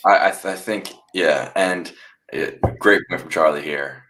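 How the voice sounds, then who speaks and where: Deep-voiced male stream host. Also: unhurried, a bit bright. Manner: upbeat, reads out viewer comments. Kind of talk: hosting a live fan show.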